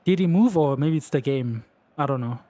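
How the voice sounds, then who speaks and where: A young man who is not a native English speaker, rambling excitedly over his own gameplay with lots of fillers. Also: medium pitch, fairly quick, dry recording. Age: young adult. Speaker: gaming youtuber. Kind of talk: casual gameplay commentary.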